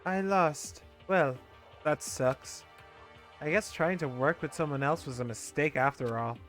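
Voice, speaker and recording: A man's voice: fairly high pitch, a little slow, fairly bright tone, soft background music. A man reading a proud teenage girl gambler's lines from a game in a casual, lightly dramatic way.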